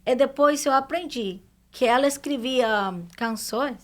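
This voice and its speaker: Higher-voiced woman, halting non-native Portuguese speaker. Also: fairly even intonation. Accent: foreign accent in Portuguese. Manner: halting, searching for words. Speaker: guest immigration professional. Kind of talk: conversational podcast interview.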